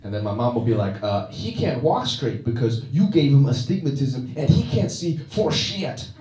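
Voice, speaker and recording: A man's voice: mid-range voice, sing-song, moderate pace, reverberant, distant mic, background noise. A man doing a stand-up comedy set with a dry, self-deprecating delivery and frequent "uh" restarts, recorded on a comedy club stage. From China.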